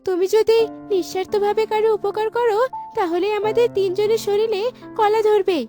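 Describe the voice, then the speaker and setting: An extremely high, otherworldly talking tree performed by a woman in a cartoon fairy tale. It speaks kindly and solemnly, reassuring whoever listens. Music plays behind it. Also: steady tone, normal speed. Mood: solemn reassurance.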